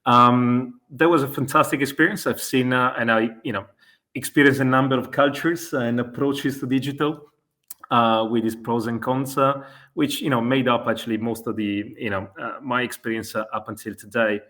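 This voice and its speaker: A man's voice: mid-range voice, steady tone, normal speed, a bit bright. A man in his forties from Italy with an Italian accent, answering interview questions hesitantly, with many fillers and self-corrections. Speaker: guest, e-commerce consultant.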